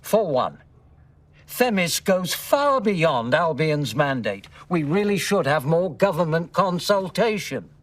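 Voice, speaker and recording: A cautious police commissioner. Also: male voice, on the high side, sing-song, slow, dry recording, recorded from a distance. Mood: concerned. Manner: polite, objecting.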